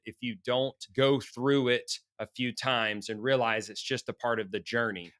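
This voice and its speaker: Hoarse man, podcast host, bright forceful tone, earnest coaching talk, dry close sound. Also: mid-range voice, no room sound. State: hoarse from a sinus infection.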